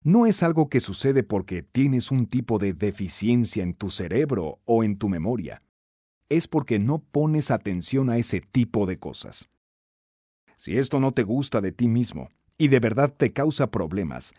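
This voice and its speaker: A man narrating a scripted self-help audiobook in an emphatic, instructive, expressive way. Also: medium pitch, measured pace, dry recording, clean studio sound.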